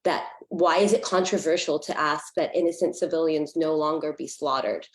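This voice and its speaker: An American woman with a low-pitched voice addresses a public meeting. She speaks with emphatic indignation, pressing her appeal directly to the listeners. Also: normal speed, echoey room. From San Francisco Bay Area, USA. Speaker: public commenter. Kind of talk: impassioned public comment.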